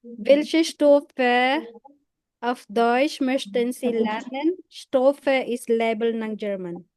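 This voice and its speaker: A woman's voice: fairly high pitch, drawn-out words, darkish tone, no room sound, low-quality recording. A woman teaching German, patiently rephrasing her questions and switching to Tagalog to help a student. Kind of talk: classroom questioning.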